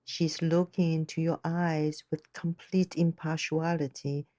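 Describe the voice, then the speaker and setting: Female meditation guide: soft dark tone, very slow gentle delivery, measured phrasing. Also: deep voice, little pitch movement, dry recording.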